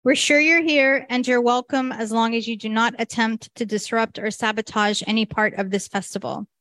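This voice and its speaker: A woman hosting a festival, giving a deliberate, declarative opening address. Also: a little high, fairly even intonation, fairly bright tone. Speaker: festival organizer and host.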